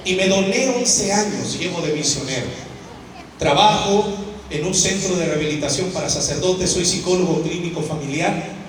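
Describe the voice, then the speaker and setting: Shouting preacher man in an echoey hall. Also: high-pitched. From United States (Arizona). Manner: shouting, drawn-out emphatic words, prompting the crowd to repeat. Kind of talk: fiery call-and-response sermon. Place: large school hall with a congregation. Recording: echoey hall recorded from far off. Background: faint crowd and room noise.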